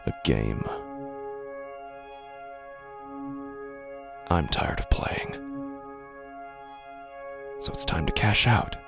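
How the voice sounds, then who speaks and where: A weary, fatalistic gambler played by a man narrates a horror audio drama in a deep, slow voice. He broods over each halting phrase, dropping toward a whisper, with gravel in the tone. Faint music sits behind him. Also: big pitch swings, full character voice. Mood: weary, bitter.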